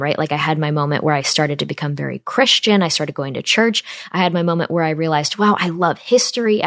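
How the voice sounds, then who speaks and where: A woman's voice: lowish voice, no room sound, studio quality. A woman in her twenties from upstate New York, hosting a podcast and talking earnestly and directly to her listeners. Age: 20s. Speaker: podcast host. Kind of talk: casual monologue.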